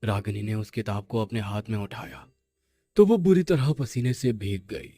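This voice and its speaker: A man's voice: lowish voice, sing-song, normal speed, warm tone, no echo. A man narrating a scripted horror story with suspenseful, dramatic phrasing.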